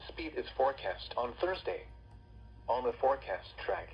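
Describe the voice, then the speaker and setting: A man's voice reading a scripted weather bulletin in a measured, formal, impersonal way through a radio speaker. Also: mid-range voice, sing-song. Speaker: weather radio forecast announcer. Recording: dull lo-fi radio, loud noise.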